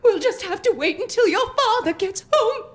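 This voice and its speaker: A woman's voice: very high voice, expressive, normal speed. A woman playing a weeping, penniless mother in exaggerated, wailing melodrama. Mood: tearful, overwrought. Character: melodramatic weeping mother who cannot pay rent.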